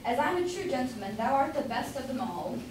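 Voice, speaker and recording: Young woman playing a blustering citizen, swooping pitch. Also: sing-song, fairly quick. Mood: hearty approval. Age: young adult. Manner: blustery, interjecting. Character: blustering, opinionated citizen in the audience. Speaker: student actor. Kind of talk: staged reading of Jacobean comedy. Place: indoor room, far from the mic. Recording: distant, very echoey room, faint noise.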